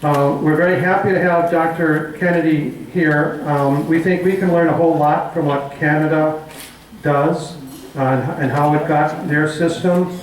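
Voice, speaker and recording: A middle-aged man organizing a community forum, making announcements in a halting way full of fillers. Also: a little high, fairly even intonation, slight background noise. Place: community hall meeting room. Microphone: handheld mic, far camera. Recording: echoey hall from far off.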